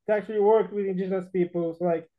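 A man's voice: high voice, fast talker. Man with a Brazilian accent, dull lo-fi sound. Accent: Brazilian Portuguese. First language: Portuguese. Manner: relaxed, laughs between sentences. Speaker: podcast guest. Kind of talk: casual chat.

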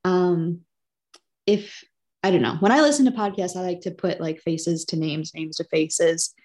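A woman's voice: lowish voice, measured pace, a little mellow. A woman chatting casually as a podcast co-host, informal and teasing in a playful way. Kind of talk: casual chat.

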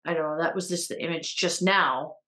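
A woman co-hosting a casual conversation, animated and giggly, often jumping into high squeals. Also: fairly quick.

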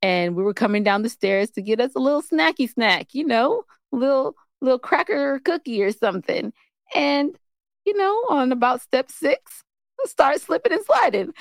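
High-voiced American woman, casual and playful. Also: normal speed, creaky, no room sound. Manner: candid, playful, animated storyteller. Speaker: podcast co-host. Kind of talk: casual relationship banter and storytelling.

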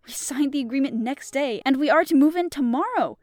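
A woman's voice: high voice, animated, brisk, darkish tone, dry recording, pitched above the performer's own voice. A woman reading aloud and voicing a chatty, breathless middle-aged housewife who gushes and rambles. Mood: gushing. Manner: gushing and rambling.

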